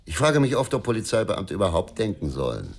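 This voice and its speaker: Scornful low-voiced ex-detective, swinging pitch. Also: male voice, very animated, brisk, gravelly, darkish tone, breathy edge, full character voice. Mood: defiant. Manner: scornful, defiant. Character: embittered, combative hard-drinking male ex-detective. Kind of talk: crime radio play acting.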